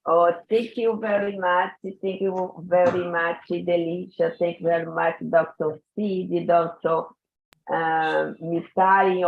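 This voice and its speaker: Woman, guest speaker on a tinny phone-like call line, unhurried and searching for English words. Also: lowish voice, gravelly. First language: Portuguese. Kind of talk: online conference talk. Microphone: video call.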